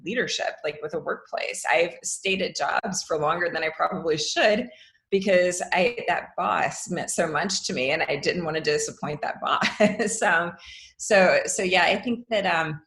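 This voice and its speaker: An educator on a podcast, a woman with a lowish voice, sharing stories with her hosts. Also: measured pace, a bit bright. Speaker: podcast guest, educator and author. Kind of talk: conversational interview answers.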